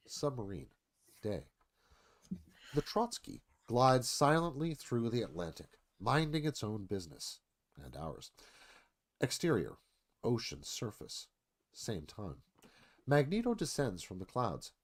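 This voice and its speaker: A man's voice: mid-range voice, very animated, unhurried. A man narrating stage directions in a dramatic, storytelling screenplay read.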